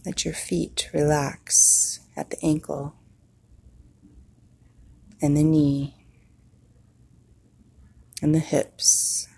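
A woman guides a meditation in a low, husky voice with a gravelly creak at the ends of phrases. She speaks very slowly and soothingly, leaving long pauses between short instructions. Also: level tone, mellow.

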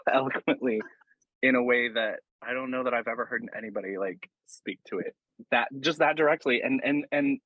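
A man who performs as a drag queen, talking as a guest in a casual interview, halting and reflective, quick to laugh. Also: mid-range voice, fairly bright tone, husky, no room sound, low-quality recording. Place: indoors while getting into drag.

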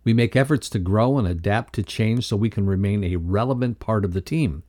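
A man co-presenting a motivational podcast lesson, reading scripted text aloud in a measured, instructional way. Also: lowish voice, a little mellow.

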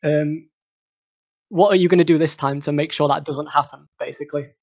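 A man's voice: a little high, fairly even intonation, moderate pace, darkish tone, lo-fi sound. A man hosting an esports talk show, casually steering a panel chat.

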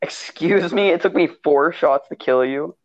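A man co-hosting a casual podcast over online voice chat while gaming, joking and talking in quick rambling bursts. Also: on the high side, no room sound, highs cut off. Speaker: podcast co-host.

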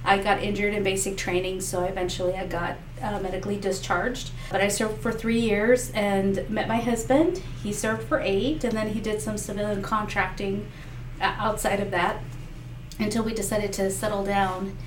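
A woman's voice: mid-range voice, fairly even intonation, moderate pace. Woman running for office, spanish first language, lots of uhs and restarts, sounds far off in a roomy space. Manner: earnest, talks in restarts with many fillers. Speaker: political candidate. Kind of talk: interview answers. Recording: echoey room, mic far off, faint noise.

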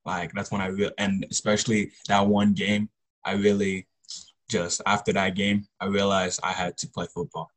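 A teenage young man from Congo, a high school football player, giving casual answers as an interview guest. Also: level tone, normal speed. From Congo, later the US Pacific Northwest.

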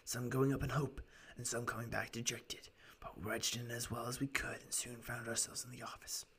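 A man voicing an earnest, wordy Victorian London shopkeeper in a raspy voice, telling his tale as in a storybook. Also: mid-range voice, little pitch movement, fairly quick, fairly bright tone. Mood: marveling, breathy.

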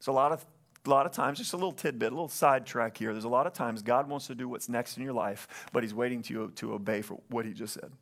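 A man's voice: medium pitch, quick pace, a bit bright. Man, pastor, earnest sermon, calls for amens, repeats himself, church stage mic. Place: church sanctuary. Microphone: stage microphone.

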